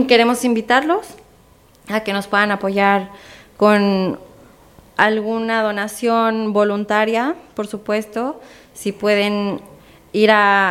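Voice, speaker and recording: A Mexican woman speaks as a guest in a conversational radio interview. Her voice is medium in pitch and stays quite flat and even in its melody. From Guanajuato, Mexico. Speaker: radio guest promoting a charity campaign.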